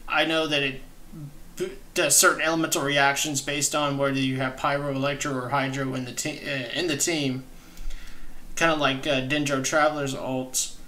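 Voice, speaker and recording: A man's voice: medium pitch, flat delivery, moderate pace, sharp tone. A young man hosting a reaction video, commenting casually and enthusiastically, often rephrasing himself as he goes. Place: small indoor room at home.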